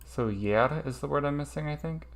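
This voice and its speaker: A man's voice: a little high, normal speed, vocal fry. A man streaming a casual study session, thinking aloud hesitantly with lots of ums.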